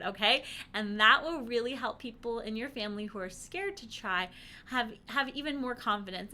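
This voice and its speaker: Lady doing a chatty how-to for parents, really bright highish voice, peppy and encouraging. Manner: animated, encouraging, chatty with rhetorical questions. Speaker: presenter teaching parents. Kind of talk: upbeat conversational instructional talk to camera.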